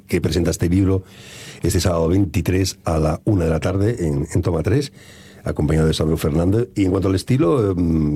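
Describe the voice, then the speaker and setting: A male radio host with a very deep, dark voice asks long, winding questions at a measured pace. His tone is husky and the sound is dry and close, with no room in it. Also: no room sound. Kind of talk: radio interview.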